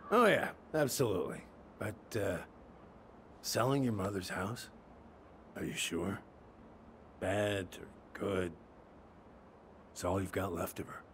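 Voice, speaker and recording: A low, creaky-voiced middle-aged handyman, awkward, wistful and well-meaning. He talks in halting, folksy phrases, with faint ambience behind him. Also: fairly bright tone, a little hiss or hum, heavily acted. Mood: hesitant, wistful. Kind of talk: naturalistic video game acting.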